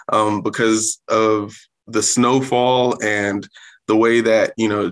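A man's voice: mid-range voice, fairly bright tone. A man around thirty from South Florida, raised speaking Haitian Creole at home, answering interview questions earnestly with many um fillers. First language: Haitian Creole or French. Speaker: guest, Salvation Army corps officer.

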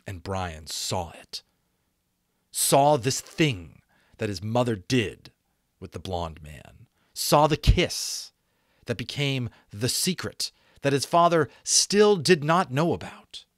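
A man in his thirties reading a novel aloud as an expressive, dramatic audiobook narrator. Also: mid-range voice, takes it slowly, no room sound, studio quality. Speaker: audiobook reader, voice actor. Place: small home room, indoors. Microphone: close desk microphone.

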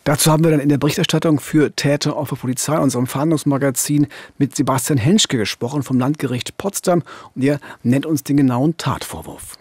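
Husky-voiced man telling true crime. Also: medium pitch, fairly quick, few pauses, darkish tone, no echo. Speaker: true-crime podcast co-host. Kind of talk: conversational true-crime storytelling. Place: dark radio studio. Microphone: studio microphone on boom arm, headset monitoring.

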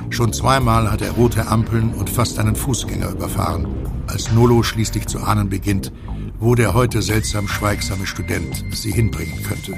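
A man narrating an audio drama from a script in a measured, deliberate way. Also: on the low side, gravelly, over loud music.

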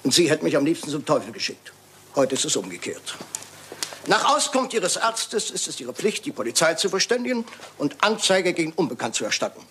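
A man's voice: fairly high pitch, very animated, fairly quick, a bit bright. A man playing a shrewd, courteous detective with a dryly ironic, probing manner in a German radio crime drama. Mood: dryly superior. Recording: hissy, noisy old radio play recording.

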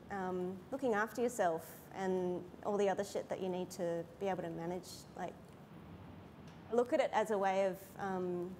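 A woman, an editor reflecting aloud on a panel with many pauses and fillers. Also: medium pitch, warm tone, slight background noise. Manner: hesitant, filler-heavy, reflective. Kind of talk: panel discussion. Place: large hall, seated on stage.

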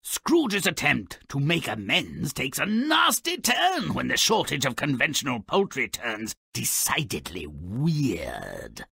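A man voicing a mischievous, grumpy little creature as a sardonic video game narrator, with a gravelly, raspy voice and a mocking, grandiloquent manner. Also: mid-range voice, wildly swooping pitch, slow pace, few pauses, bright, forceful tone, dry recording, studio quality, heavily acted. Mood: cynical musing. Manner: mocking, grandiloquent, relishing misfortune.

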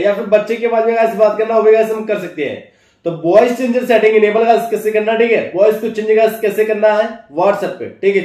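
High-voiced young man, echoey room. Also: a little mellow. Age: 20s. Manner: repeats filler address words and check-in tags constantly. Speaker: tech tutorial host. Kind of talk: casual phone tutorial to camera. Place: small indoor office. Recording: echoey room, distant microphone.

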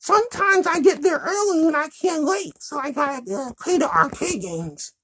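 A sassy young girl puppet, voiced by a man, chatting in a puppet show. The voice sits extremely high and moves around in lively swings. She is fussy and childlike in her replies. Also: moderate pace, full character voice. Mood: fussy, chatty.

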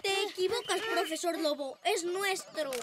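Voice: very high voice, moderate pace, heavy background noise. A voice actor with a Latin American Spanish accent playing a competitive, bragging boy rabbit in a cartoon dub. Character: boastful boy rabbit friend with robot. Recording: with loud children's music.

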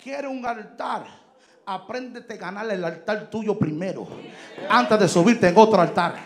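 A man in his thirties preaching a fiery sermon on a church stage into a handheld mic, shouting and mixing Spanish with English. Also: high voice, measured pace. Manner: shouting, emphatic, switching between Spanish and English. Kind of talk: Pentecostal sermon. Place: large church stage indoors. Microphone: handheld microphone.

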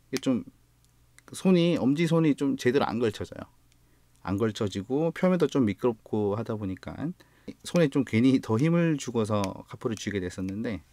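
A man's voice: medium pitch, no room sound. A man with a Gyeongsang accent, reviewing guitar gear in a casual, explanatory way, sometimes correcting himself mid-word. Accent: Gyeongsang Korean. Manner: relaxed, explanatory, slightly halting with self-corrections. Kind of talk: casual product review vlog.

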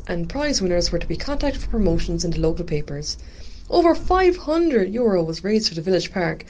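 A woman's voice: mid-range voice, darkish tone, lightly acted. A woman playing a dutiful, chatty Irish village committee secretary in an amateur radio drama.

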